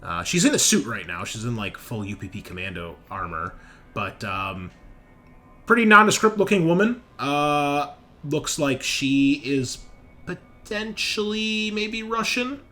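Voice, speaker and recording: A man's voice: fairly high pitch, very animated, measured pace, pushed and bright, soft background music. A man narrating a tabletop roleplaying game as game master, with casual, off-the-cuff storytelling.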